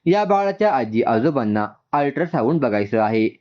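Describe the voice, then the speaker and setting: A man voicing an authoritative woman in a story, brisk and businesslike as she gives instructions. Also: medium pitch, very animated, highs cut off, close to an everyday voice. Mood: brisk, commanding.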